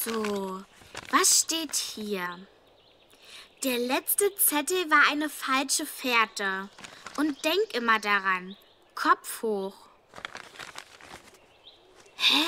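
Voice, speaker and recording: A woman's voice: a little high, expressive, drawn-out words, long pauses, bright, forceful tone, a little hiss or hum, full character voice. A woman playing a cheerful, confident young girl in a children's audio drama. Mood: curious, musing.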